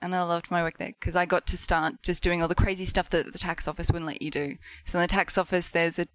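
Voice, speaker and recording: A woman's voice: lowish voice, little pitch movement, fast. A middle-aged Australian woman, a podcast guest in casual chat, upbeat and self-deprecating with many ums. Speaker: guest, marketing lead.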